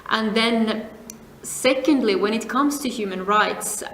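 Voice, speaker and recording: A middle-aged woman from Finland answering interview questions, speaking in a measured way with many pauses and phrase ends that fade to a near whisper. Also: a little high, fairly bright tone. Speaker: interviewee, compliance advisor. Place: seminar venue. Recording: echoey room, faint noise.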